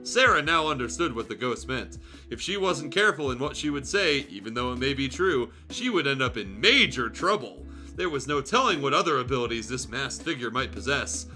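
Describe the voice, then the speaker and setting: A man's voice: medium pitch, big pitch swings, a little fast, bright, forceful tone. A man streaming a fan fiction reading, narrating the story with casual, irreverent, sweary asides. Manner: crude, joking, sweary. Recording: music behind the voice.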